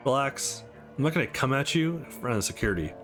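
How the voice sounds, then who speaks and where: A man's voice: medium pitch, expressive, fast, a bit bright, faint music behind, lightly acted. A man voicing the guarded, wisecracking young hero of a visual novel in a dry, blunt way. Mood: hostile.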